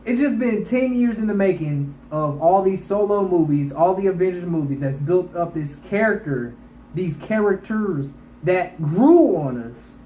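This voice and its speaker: A man's voice: high voice, animated, unhurried, mellow. A man giving a casual, emphatic movie review to online viewers, speaking colloquially and repeating himself to drive points home. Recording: echoey room with faint background noise.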